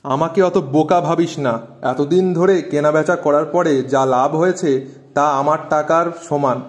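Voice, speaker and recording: Man playing a brusque, high-voiced rival cartoon shopkeeper. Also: fairly even intonation, moderate pace, lightly acted. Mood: threatening. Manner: brusque, insistent. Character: stubborn, curt, greedy male shopkeeper, the rival friend. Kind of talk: moral-story cartoon voice acting.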